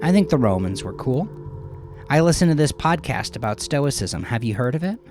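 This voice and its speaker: A man narrating a first-person dramatic audio story in a confessional, conversational manner with dramatic pauses. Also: mid-range voice, sing-song, darkish tone. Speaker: narrator of his own horror story. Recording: music behind the voice. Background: background music.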